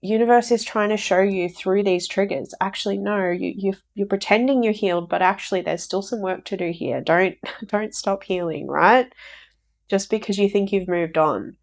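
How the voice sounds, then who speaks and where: A woman giving a casual tarot reading for viewers, croaky from a recent flu, rambling and repeating herself. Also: medium pitch, moderate pace. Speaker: tarot reader.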